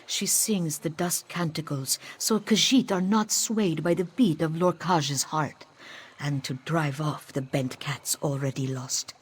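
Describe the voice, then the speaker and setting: A woman's voice: deep voice, a little slow, husky. A woman voicing a solemn cat-folk priestess in a fantasy game, speaking formally of herself in the third person. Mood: grave, earnest. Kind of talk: scripted fantasy game dialogue. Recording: background music under the voice.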